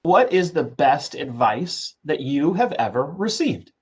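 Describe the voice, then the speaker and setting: A man's voice: on the high side, sing-song, a little slow, husky. A man hosting an interview who chats in a friendly way and reads out the guest's background. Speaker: interviewer, council host. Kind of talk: casual interview chat.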